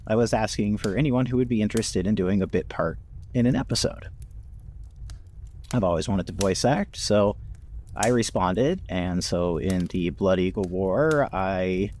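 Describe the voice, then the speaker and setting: A man talking casually and reflectively as a podcast cast member and producer, telling his story in an unhurried way. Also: lowish voice, darkish tone. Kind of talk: casual chat.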